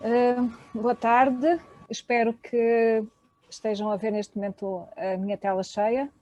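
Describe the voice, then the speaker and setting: A woman's voice: fairly high pitch, flat delivery, slow pace, dark tone, highs cut off. Hesitant Portuguese woman giving an academic talk. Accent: European Portuguese. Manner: hesitant, many fillers. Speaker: panel speaker. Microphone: video call.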